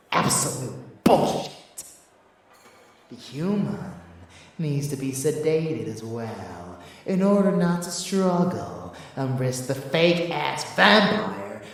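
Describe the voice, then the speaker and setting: A man playing a rebellious, possessive and seductive vampire lover in an ASMR audio roleplay, teasing and bloodthirsty in a drawn-out, intimate delivery. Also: mid-range voice. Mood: scornful. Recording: echoey, distant, faint background noise.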